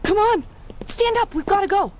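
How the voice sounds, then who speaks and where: A woman's voice: very high-pitched, expressive, moderate pace, noisy background. A woman playing a plucky, streetwise city dweller with wry, colloquial speech in a 1930s-style radio drama. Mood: frightened, pleading. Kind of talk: 1930s radio adventure serial acting.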